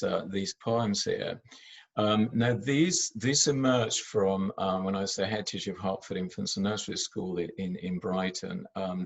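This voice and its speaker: A man's voice: lowish voice, gravelly, slightly rough. A man from Argentina, a native Spanish speaker and former head teacher, giving a teaching presentation in English with many fillers and restarts.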